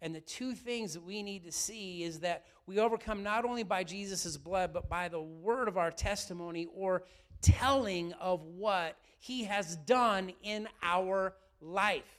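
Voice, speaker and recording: Brief: middle-aged male pastor, high voice, slightly raspy, lively sermon that keeps asking questions. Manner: lively, asks the room questions often.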